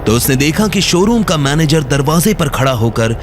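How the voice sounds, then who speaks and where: A man's voice: on the high side, very animated, moderate pace, background noise. A man narrating a scripted audio drama story in a dramatic storytelling style.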